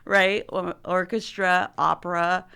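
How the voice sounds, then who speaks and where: A woman's voice: fairly low pitch, fairly even intonation, slow, gravelly, sharp tone, smooth. A woman hosting a casual podcast talk as a marriage and family therapist, chatty, saying "like" a lot and laughing often.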